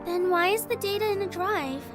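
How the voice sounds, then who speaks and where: A woman voicing a gentle, caring young woman in a visual novel game, with a sincere, consoling manner. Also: extremely high pitch, normal speed, music in the background. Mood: concerned.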